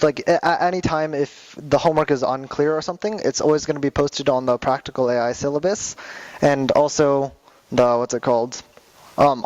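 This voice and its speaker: Young guy lecturing a class, lots of ums and restarts, normal pitch, classroom sound. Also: a little mellow, dry recording, rough recording. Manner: halting, many fillers and restarts. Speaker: class instructor. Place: classroom with projector.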